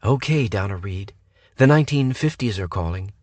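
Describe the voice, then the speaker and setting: A man voicing a sharp-tongued, sarcastic young woman with a mocking way of talking. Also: fairly low pitch, expressive, moderate pace, no room sound. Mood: sassy. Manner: sassy, mocking.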